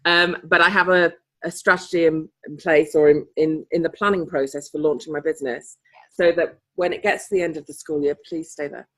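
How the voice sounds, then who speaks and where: A woman's voice: deep voice, fairly even intonation, fairly quick, a bit bright, low-quality recording. A woman chatting casually on a group video call. She laughs often and sometimes loses her thread. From Britain. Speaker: participant in a coaching group call.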